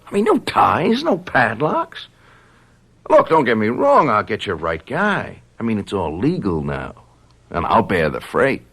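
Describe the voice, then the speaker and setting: A cocky, callous young man in a radio drama. His low voice swings widely up and down as he talks in flippant slang, brushing everything aside. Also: huge pitch swings, unhurried, a bit bright, slightly rough, heavily acted. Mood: breezy, defiant.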